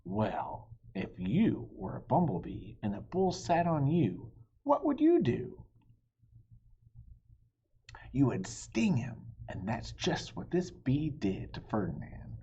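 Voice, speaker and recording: Guy reading a kids picture book, super expressive, soft mellow breathy voice, kinda muffled lo-fi recording. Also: medium pitch. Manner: expressive, dramatic, pauses to address young listeners. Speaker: storybook reader. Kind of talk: animated read-aloud storytelling for children. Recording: dull, muffled lo-fi audio.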